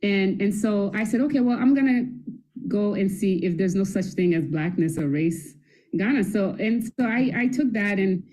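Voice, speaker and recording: Mellow middle-aged woman telling stories. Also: mid-range voice, moderate pace, rough recording. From Haiti. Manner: chatty storytelling with laughs and self-corrections. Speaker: guest academic. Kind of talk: conversational interview answers.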